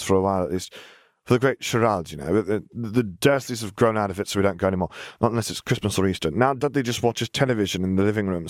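A man's voice: fairly low pitch, dry recording, studio quality, lightly acted. A man voicing a chatty, blunt, oddly morbid little girl in an audiobook. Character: odd, matter-of-fact, blithely morbid little girl. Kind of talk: audiobook character voice.

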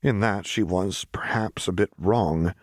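A man with a low voice narrates an audiobook story. The recording is very dry, with next to no room in it. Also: unhurried, no room sound. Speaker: audiobook reader. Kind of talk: scripted fiction narration.

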